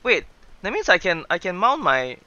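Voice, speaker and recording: A man's voice: high voice, sing-song, moderate pace, bright, forceful tone, dry recording, muffled recording. A man doing casual livestream game commentary in a playful, exclamatory way, sometimes reading dialogue aloud. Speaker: streamer, virtual avatar host. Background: game music.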